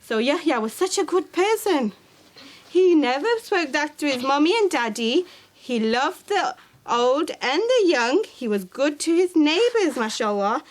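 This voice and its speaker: Lady teacher reading to little kids, high bouncy voice, sing-songy. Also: unhurried. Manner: lively, sing-song reading voice. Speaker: madrasa teacher. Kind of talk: storytelling to young children. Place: library classroom in a mosque.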